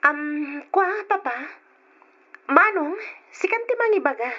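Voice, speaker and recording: An eager, high-pitched grown daughter. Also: female voice, expressive, unhurried. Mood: pleading. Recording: lo-fi old radio drama recording.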